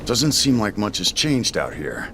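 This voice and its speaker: Gravelly, brooding lone warrior, low voice. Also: male voice, sing-song, measured pace, noisy background. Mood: terse, guarded. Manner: curt, clipped, guarded. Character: gruff, terse, brooding male warrior loner. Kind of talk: dramatic video game cutscene acting.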